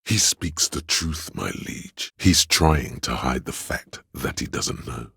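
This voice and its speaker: A man's voice: expressive, takes it slowly, bright, forceful tone, no echo, studio quality, exaggerated voice. An actor playing a theatrical inner voice in a video game, a courtly, scheming and obsequious adviser who speaks grandly in archaic phrases to his liege. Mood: sly, confiding. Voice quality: gravelly, husky bass. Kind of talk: scripted game voice lines, archaic courtly speech.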